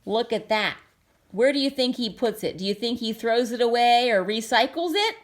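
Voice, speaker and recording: A woman's voice: fairly high pitch, moderate pace. A woman reading a children's book aloud expressively, breaking off for simple chatty asides and questions to young listeners. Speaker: storybook reader.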